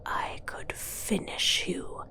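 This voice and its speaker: A woman voicing a haughty, seductive female demon villain in a whispered, breathy ASMR fantasy roleplay, speaking formally and with condescension. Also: pushed and bright. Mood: weary, menacing. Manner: slow, deliberate, condescending, formal.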